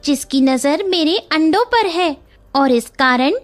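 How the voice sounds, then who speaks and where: A woman voicing a small motherly female bird in a cartoon storybook tale, anxious and emphatic, quick to anger. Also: high-pitched, lively intonation, faint music behind, pitched above the performer's own voice.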